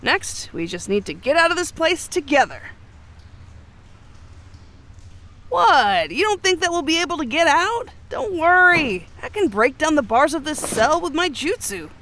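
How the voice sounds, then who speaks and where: Spirited, high-pitched young ninja hero. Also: female voice, normal speed, hardly any pauses, heavily acted. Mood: excited, upbeat. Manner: earnest, emphatic, expressive. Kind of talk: English anime game dub acting.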